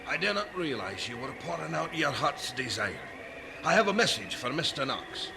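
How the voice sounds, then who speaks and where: A man's voice: a little high, sing-song, normal speed, gravelly, noisy background. A man playing an apologetic lord bearing a message in an audio drama.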